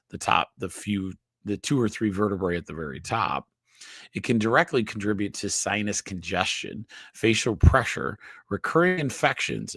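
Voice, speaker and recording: Nasal low-voiced man teaching. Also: a bit bright, no echo, studio quality. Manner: halting, frequent restarts, checks in with "okay?" and "right?". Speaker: chiropractor host. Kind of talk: live-streamed health explainer.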